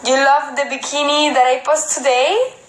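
A woman's voice: high-pitched, steady tone, reverberant, low-quality recording. Woman in her twenties, Spanish accent, casual livestream chat, phone at arm's length, faint hiss. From Spain. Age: 20s. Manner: switches between Spanish and halting English, answers viewers' comments. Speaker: model on a livestream. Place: indoor room at home. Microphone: phone held at arm's length.

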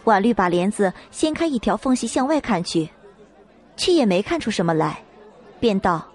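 A woman reading a dramatic novel as an audiobook narrator, in measured storytelling style. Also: medium pitch, very animated, darkish tone, a faint crowd.

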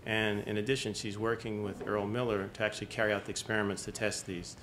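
Middle-aged man, low voice, brisk introduction. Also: level tone, fairly bright tone, slight background noise. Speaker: professor introducing his student. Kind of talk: speaker introduction. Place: lecture hall at a podium. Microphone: podium microphone.

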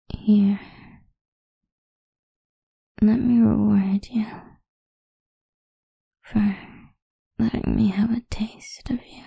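A woman playing a possessive, seductive goth vampire idol in an intimate, breathy ASMR whisper, close to the microphone. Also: a little high, warm tone, no echo, full character voice. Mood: pleased, seductive.

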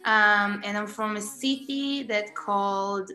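Israeli-accented young woman, slow and soft. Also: mid-range voice, roomy sound. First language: Hebrew. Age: young adult. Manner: soft-spoken, with frequent ums and laughs. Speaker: guest singer. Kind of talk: casual interview answers over video call.

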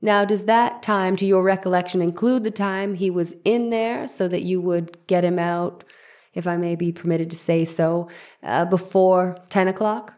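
A woman's voice: on the low side, steady tone, moderate pace, a little mellow, natural voice. A woman playing a courteous, careful male 1890s defense lawyer, questioning formally and precisely in a trial drama. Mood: courteous, insistent. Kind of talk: dramatic reading of trial transcript.

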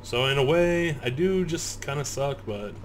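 A man of thirty comments casually as he plays a video game, with an average-pitched voice. He swears freely, grumbles and mocks himself. Clear game noise sits behind him. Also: expressive, moderate pace. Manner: sweary, griping, self-deprecating. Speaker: gamer, video host. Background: horror video game sound.

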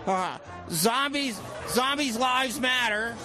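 A man's voice: very high voice, expressive, slow, full character voice. A man playing a dramatic field reporter who often corrects himself, in a mock breaking-news radio comedy. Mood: excited. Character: excitable male field reporter at a zombie protest. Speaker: on-the-scene reporter. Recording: loud crowd and music behind.

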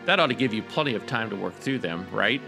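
A man's voice: on the low side, expressive, quick pace, vocal fry. A man playing a laid-back, disorganised client in a radio drama. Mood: nonchalant. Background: music under the voice.

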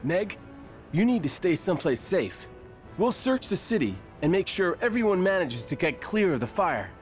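An actor playing a friendly, confident young male hero in an animated fantasy game, earnest and lightly wry. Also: high voice, moderate pace, soft background music.

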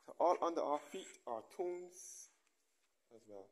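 A man giving a relaxed guided walking tour, recorded on a handheld camera as he moves from a churchyard into a large church. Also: on the high side, unhurried. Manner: relaxed, pointing things out as he walks. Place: outdoors in a churchyard, then inside a large church.

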